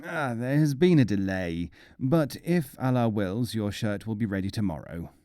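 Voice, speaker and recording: A man's voice: lowish voice, animated, normal speed, mellow, dry recording, studio quality, natural voice. A man voicing an apologetic, procrastinating tailor in a folk tale, in a placating drawl.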